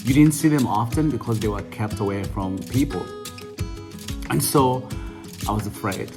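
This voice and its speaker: Man telling a calm story. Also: medium pitch. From Uganda. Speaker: vlog host. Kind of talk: casual storytelling to camera.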